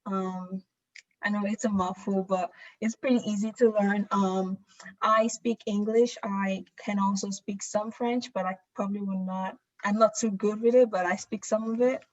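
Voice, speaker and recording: A woman's voice: medium pitch, fairly even intonation, normal speed, muffled recording. A woman from Nigeria whose first language is Yoruba, introducing herself in an easygoing way on a video call. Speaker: student panelist.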